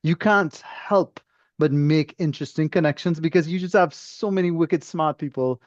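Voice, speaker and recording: A man's voice: a little high, normal speed, no echo. A Trinidadian man musing discursively as a podcast guest, heard through slightly muffled, very dry audio. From Trinidad and Tobago. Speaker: interview guest, engineer. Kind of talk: conversational, reflective interview.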